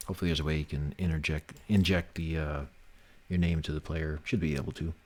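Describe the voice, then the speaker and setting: A man's voice: very deep voice, fairly even intonation, quick pace, darkish tone. A man hosting a development livestream, thinking aloud in an informal, sweary, teasing way. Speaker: livestream host and game developer. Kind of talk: casual livestream chat, thinking aloud while working.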